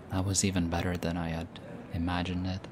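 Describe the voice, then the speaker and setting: A young man voicing an affectionate, teasing boyfriend in an audio roleplay, speaking softly and hushed. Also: low-pitched. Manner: soft, hushed, teasing with light laughs. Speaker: voice actor. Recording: steady ambient background noise. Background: busy airport ambience.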